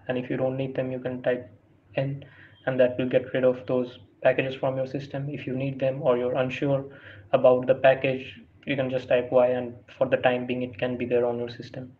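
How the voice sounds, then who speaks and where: Hesitant man with a dull, hissy mic. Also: mid-range voice. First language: not English. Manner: hesitant, many fillers and restarts, reads prompts aloud. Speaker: tutorial host. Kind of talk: screen-recorded tutorial walkthrough. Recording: dull lo-fi sound with faint hiss.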